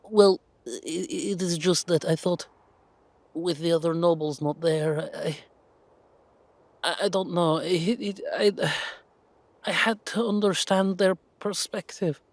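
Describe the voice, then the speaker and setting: A cowardly, terrified duke, played by a man in an audio roleplay. His voice is pushed high and loud, strained with fear. He stammers and grovels, pleading over broken, repeated words. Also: fairly even intonation, slow pace, no echo. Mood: terrified grovelling.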